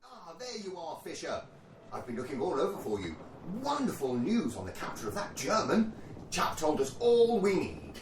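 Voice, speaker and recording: An actor playing a jolly, pompous upper-class British army captain in a radio play, with plummy, politely hesitant speech. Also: male voice, on the high side, wildly swooping pitch, moderate pace. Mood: jolly, brisk. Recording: roomy, distant mic, faint noise.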